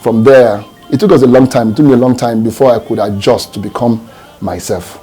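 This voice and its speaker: A man's voice: mid-range voice, a little fast, warm tone, faint music behind. A Nigerian man, a gospel singer, answering interview questions in a reflective, hesitant way with many fillers and restarts.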